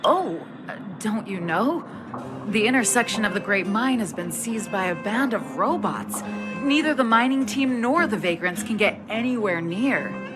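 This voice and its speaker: A weary, shaken woman miner from a video game, voiced by an actress, with a middle-pitched voice. She speaks in an earnest, friendly way with hesitations and sighs, over clearly audible music. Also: animated, moderate pace, close to an everyday voice. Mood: weary, explaining. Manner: sighing, hesitant.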